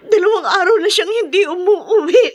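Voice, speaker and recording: A woman's voice: very high voice, normal speed. An actress playing an anxious, fretful mother in a Filipino radio drama, with hesitant, melodramatic delivery. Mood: anxious, tearful.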